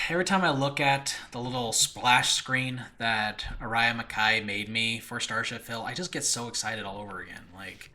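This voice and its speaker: A man with a bright, forceful voice at an average pitch talks casually to his listeners as a podcast host. He is excitable and effusive, rambling along and often breaking off to restart a thought. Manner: rambling, halting, self-interrupting. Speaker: host and creator of an audio drama channel.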